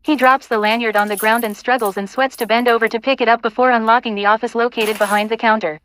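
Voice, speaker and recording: Higher-pitched woman narrating a script. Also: fairly even intonation, quick pace, fairly bright tone, poor audio. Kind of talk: scripted narration of stage directions.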